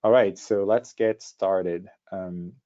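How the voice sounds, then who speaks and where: A man with a Brazilian accent presenting an informal webinar in a halting way, often repeating words as he goes. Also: low-pitched, steady tone, mellow. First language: Portuguese. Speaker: startup co-founder giving a presentation. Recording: dull, lo-fi online call audio.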